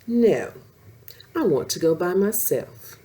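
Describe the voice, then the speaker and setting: A woman voicing an earnest, quick-tempered young female lion cub in a children's storybook, speaking with emphatic, childlike directness. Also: on the low side, sing-song, mellow, slightly rough, heavily acted. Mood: firm.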